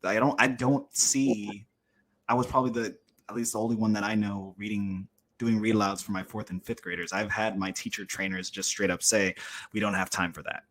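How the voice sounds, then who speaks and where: Lowish-voiced American man interviewing. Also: little pitch movement, fairly quick, fairly bright tone, rough recording. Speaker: interviewer, teacher. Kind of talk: casual interview conversation.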